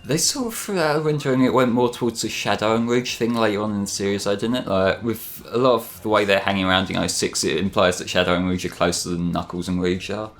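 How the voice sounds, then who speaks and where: A man's voice: lowish voice, quick pace, hardly any pauses. A man co-commentating a game casually, with a joking, wry manner and some swearing. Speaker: let's play co-commentator. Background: game music.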